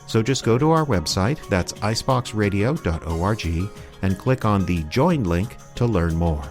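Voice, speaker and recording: Lower-pitched male radio theater announcer. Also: animated, a little slow. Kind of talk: scripted announcement and narration. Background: music.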